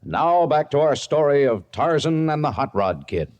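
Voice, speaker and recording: A man narrating an adventure serial in a grand, measured old-time radio storytelling style. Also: a little high, very animated, lo-fi sound. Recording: noisy old radio recording.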